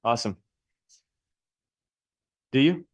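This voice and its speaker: A man's voice: mid-range voice, expressive, slow pace, slightly rough, no room sound, clean studio sound. Upbeat chatty male class instructor. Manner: upbeat, chatty, asks students questions. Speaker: real estate instructor. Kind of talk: live class teaching and chat.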